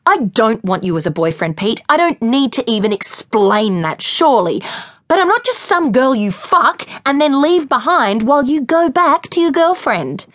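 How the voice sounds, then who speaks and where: A woman plays a young woman in a fury, her voice pitched up high and sharp with contempt. She bites off her words with sarcasm. The sound is dry and close. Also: sing-song, measured pace, few pauses, dry recording. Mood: furious. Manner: sarcastic, then cold and cutting. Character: furious young woman betrayed by friend.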